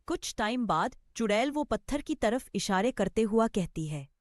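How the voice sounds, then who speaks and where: A woman's voice: a little high, expressive, normal speed, no echo, studio quality. A woman narrating a scripted story.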